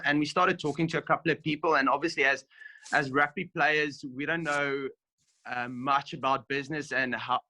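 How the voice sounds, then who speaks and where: A man's voice: medium pitch, sharp tone, rough recording. A young South African man, a rugby player and food founder, chatting casually over a video call with many ums, just off a gym session. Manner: friendly, loose, many ums.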